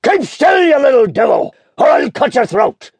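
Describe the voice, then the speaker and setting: Growling, gravelly, menacing convict. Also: male voice, high voice, moderate pace, no room sound, pitched above the performer's own voice. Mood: furious threatening. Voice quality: rough, growling. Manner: barking threatening commands. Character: menacing, ragged, starving male escaped convict. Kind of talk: audiobook character acting.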